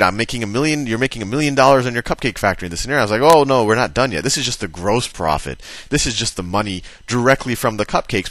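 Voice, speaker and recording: A man's voice: fairly low pitch, a bit bright, dry recording. A man giving a casual explanatory lesson, talking it through conversationally and thinking aloud as he writes. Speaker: teacher.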